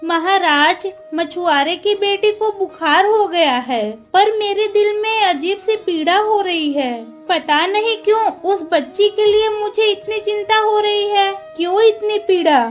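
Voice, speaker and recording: A woman's voice: very high voice, a little slow, few pauses, faint music behind. A woman voicing a tender, anxious, motherly queen in a Hindi cartoon story, formal and emotive.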